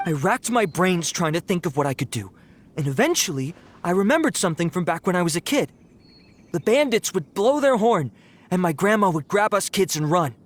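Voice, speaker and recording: A man's voice: high voice, big pitch swings, brisk, slight background noise. A man voicing a cowardly young bandit in a video game, nervous and stammering, pleading and sheepish. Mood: remorseful, anxious. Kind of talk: dramatic video game voice acting.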